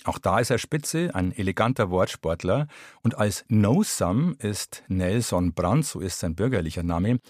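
A man's voice: lowish voice, lively intonation, unhurried, darkish tone, no echo, studio quality. A man presenting a music feature in polished, scripted broadcast narration. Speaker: radio presenter.

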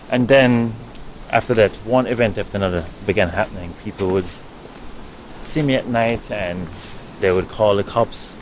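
A man with a Jamaican accent, a writer, recounting his own experiences in a measured, thoughtful way with pauses mid-sentence. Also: lowish voice, a little hiss or hum, rough recording. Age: adult. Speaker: writer and guest. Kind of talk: reflective storytelling.

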